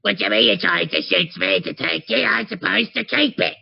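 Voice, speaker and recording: Dude doing a slovenly drunk thug squabbling in a tavern game, super gravelly, bit muffled. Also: male voice, sits high, brisk, voice pushed up, full character voice. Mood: drunken indignation.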